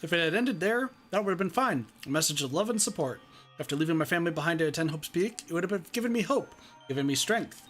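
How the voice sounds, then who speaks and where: A man's voice: on the high side, expressive, fast talker, a bit bright, soft background music. A man playing an anxious, earnest teenage schoolboy, dramatically narrating a visual novel. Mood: wistful. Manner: dramatic, intense. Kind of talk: reading visual novel aloud in character.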